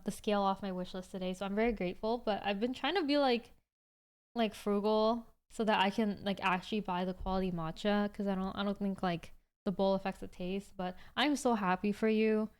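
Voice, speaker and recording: A woman's voice: mid-range voice, clear, resonant tone. A woman in her twenties hosting a livestream, chatting casually with viewers in a rambling way full of "like". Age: 20s. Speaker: livestream host.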